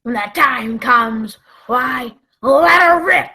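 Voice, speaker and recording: A woman playing a sly, commanding male pirate ringleader in a radio play, with folksy, drawn-out seafaring talk. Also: high voice, creaky, bright, forceful tone, full character voice.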